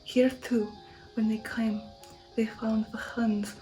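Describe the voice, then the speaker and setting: A woman voicing a proud old male vampire count in a gothic storybook, soft and half-whispered, stately and declamatory. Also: fairly high pitch, level tone, takes it slowly, faint music behind, rough recording. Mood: solemn pride. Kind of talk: ASMR audiobook reading of a gothic novel.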